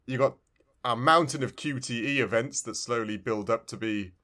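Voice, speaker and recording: A man's voice: medium pitch, normal speed, pushed and bright. A man co-hosting a casual video game review podcast, talking in a rambling way and often restarting his phrases. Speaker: podcast co-host.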